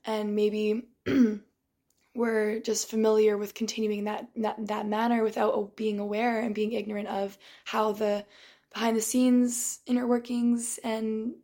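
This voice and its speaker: A young woman with a flat, level pitch talks in a casual, thoughtful way. Her voice sounds tired and strained from shouting. She stops and restarts often, clearing her throat between thoughts. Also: measured pace. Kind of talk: casual reflective monologue.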